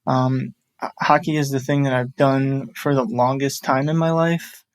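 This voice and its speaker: A man in his thirties talking things through in a casual chat, pausing and restarting as he thinks. His voice stays level, with little rise or fall. The recording is dry and close. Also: mid-range voice, fairly even intonation, no room sound. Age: 30s. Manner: thoughtful, rambling, with restarts and filler. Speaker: audio producer.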